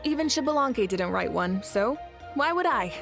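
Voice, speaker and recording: A woman's voice: fairly high pitch, expressive, normal speed, gravelly. A woman voicing a confident, passionate adult ruler in a game, teasing and laughing easily. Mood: joking. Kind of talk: game voice acting. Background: faint game music.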